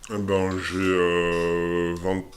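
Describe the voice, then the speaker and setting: Un homme d'une vingtaine d'années, soldat, qui répond en entretien de façon décontractée et hésitante, avec beaucoup de « euh » et de reprises. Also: male voice, deep voice, completely flat delivery, drawn-out words, slight background noise. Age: 20s. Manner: hesitant, many fillers and restarts. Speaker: interviewee, army soldier. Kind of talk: casual interview answers.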